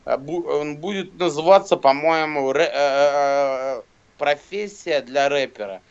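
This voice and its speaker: Thirties man, slangy and rambling, pitched a bit high, dull lo-fi call audio. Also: a little slow, smooth. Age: 30s. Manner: slangy, swearing, rambling with many fillers. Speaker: rapper and guest. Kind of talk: casual video call chat. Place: indoors, small room. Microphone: phone camera close to face.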